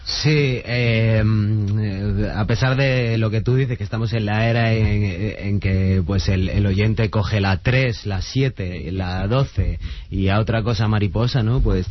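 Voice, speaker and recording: A man musician from Spain speaks in a low voice, thoughtful and halting with frequent restarts. The sound is dry and close. The audio is muffled like radio. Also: no echo. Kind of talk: radio interview guest.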